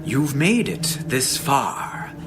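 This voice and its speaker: An actor playing a menacing haunted-house tour guide in a horror audio drama, with theatrical, partly whispered delivery. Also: male voice, medium pitch, sing-song. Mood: ominous, teasing. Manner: slow, dramatic, with whispered phrases. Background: music.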